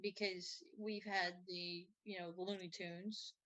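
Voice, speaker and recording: A woman co-hosting a casual chat, speaking in halting phrases that often trail off. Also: lowish voice, level tone, a bit bright, poor audio. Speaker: co-host.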